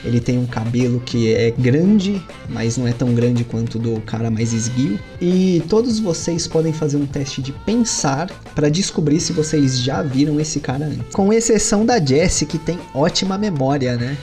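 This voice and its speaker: A man with a Brazilian Portuguese accent, running a tabletop role-playing session as game master and telling the scene with lively storytelling. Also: moderate pace, hardly any pauses, soft background music. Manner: animated storytelling with sudden lifts in pitch.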